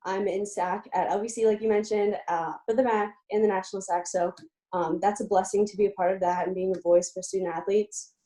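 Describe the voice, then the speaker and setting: Young woman, from pennsylvania, mellow warm tone, eager chatty interview guest, frequent ums. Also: mid-range voice, fairly even intonation, a little fast. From Lebanon, Pennsylvania, USA. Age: young adult. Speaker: guest, college student-athlete. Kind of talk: casual interview.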